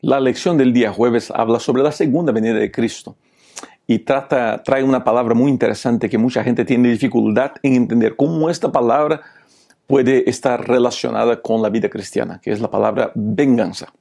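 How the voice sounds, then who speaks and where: Gravelly pastor. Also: male voice, medium pitch, fairly even intonation, moderate pace, warm tone. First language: Portuguese. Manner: preachy, repeated rhetorical questions. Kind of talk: Bible lesson commentary.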